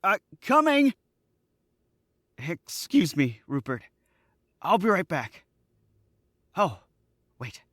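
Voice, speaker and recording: A man voicing a jumpy young man who hedges and stalls, his slow speech rising and falling a lot. He sounds guarded and uneasy in an old-radio style. The recording is close and dry. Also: sits high, huge pitch swings, fairly bright tone, dry recording, full character voice. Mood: nervous. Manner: hesitant, jittery, evasive. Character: nervous, gloomy young man. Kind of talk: old-time radio drama acting.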